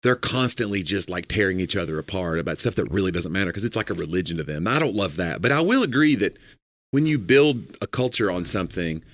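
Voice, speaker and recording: A man who does comedy, co-hosting a podcast in a casual, joking, rambling way, a little hoarse from a cold. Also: low voice, expressive, quick pace, no echo. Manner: joking, rambling, filler-heavy.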